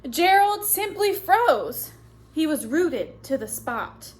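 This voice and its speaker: A woman's voice: high voice, sing-song, slow, slight background noise. A woman reading a children's picture book aloud as a teacher, expressively and with simple asides to young listeners.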